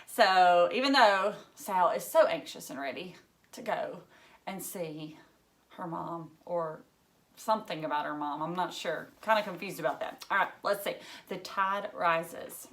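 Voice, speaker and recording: A woman teacher reading a story aloud to children in an expressive way, emphasizing key words. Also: lowish voice, unhurried.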